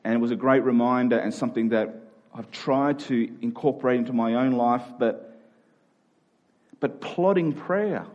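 A man's voice: medium pitch, moderate pace, lo-fi sound. A man preaching in a conversational, earnest and self-deprecating way, thinking aloud and repeating phrases as he goes. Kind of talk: conversational sermon.

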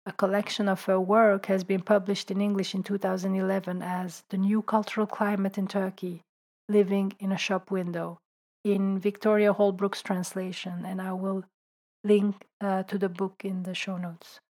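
A woman, a writer and translator, reading her own reflective essay in a calm, thoughtful way, sometimes dropping to a soft whisper. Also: medium pitch, normal speed. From Belgium. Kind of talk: reflective personal essay narration.

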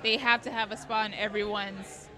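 A woman's voice: mid-range voice, little pitch movement, moderate pace, over a noisy crowd. A woman around thirty chatting casually on a podcast, rambling and using lots of "like" fillers. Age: 30s. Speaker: podcast co-host. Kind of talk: casual chat.

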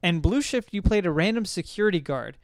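A man's voice: high voice, expressive, brisk, no echo. A man co-hosting a casual discussion, explaining his opinions in a rambling way. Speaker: podcast co-host. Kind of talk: casual discussion of video games and films.

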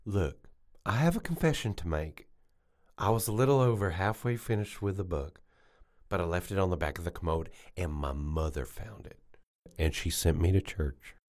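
A man's voice: low-pitched, expressive, normal speed, a little mellow. An actor playing an earnest, sheltered grown man in a comedic audio drama, awkward and confessional. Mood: earnest, sheepish.

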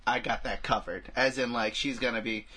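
A man's voice: medium pitch, fairly even intonation, normal speed, pushed and bright. A man co-hosting a podcast, chatting casually about a film with friends in a rambling, crude way peppered with filler phrases. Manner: rambling, crude humor, frequent "like" and "you know". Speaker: podcast co-host.

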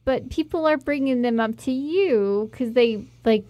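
A woman's voice: a little high, unhurried, dark tone, dry recording. A woman co-hosting a casual talk show, teasing and asking questions. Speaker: co-host and comedian.

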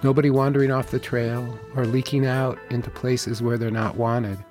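A man, narrating a documentary report in a calm, measured, plain-spoken way. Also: medium pitch, soft background music. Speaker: host and reporter. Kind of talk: scripted narration and field interview.

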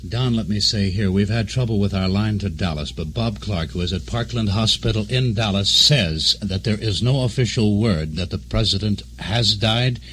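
A man giving a live, unscripted news update on 1960s radio in a measured, cautious way. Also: fairly low pitch, a bit bright, slightly rough. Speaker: radio newsman.

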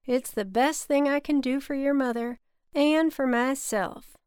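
Lady putting on a tired southern guy, thick drawl, folksy and fed up, pitched up and loud, audiobook. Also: a little slow, no echo, studio quality. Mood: regretful, firm. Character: weary Southern businessman, folksy, exasperated but kind.

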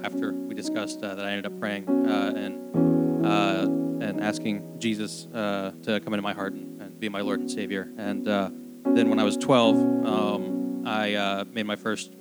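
A young man in his twenties shares his story haltingly, stumbling over filler words. His low voice barely moves in pitch, and loud guitar music fills the background. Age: 20s. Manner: hesitant, many fillers. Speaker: church member giving testimony.

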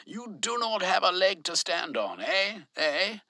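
A man's voice: sits high, big pitch swings, unhurried, sharp tone, no room sound, clean studio sound, voice pushed up, heavily acted. A man voicing a bold young male adventurer who makes a defiant, declaiming speech.

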